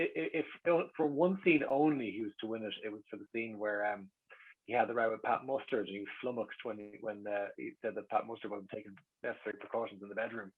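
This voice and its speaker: A man's voice: mid-range voice, breathy edge. An Irish man telling anecdotes in casual chat as a guest, with hesitant starts and repeated words. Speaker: interview guest. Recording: muffled, lo-fi voice track.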